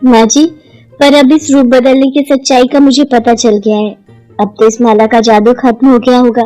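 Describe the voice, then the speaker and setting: An earnest, devoted young daughter-in-law from a cartoon moral tale, acted by a woman. The voice is high and emotional, pushing words emphatically over faint music. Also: little pitch movement, moderate pace, warm tone, close to an everyday voice, poor audio. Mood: apologetic, affectionate.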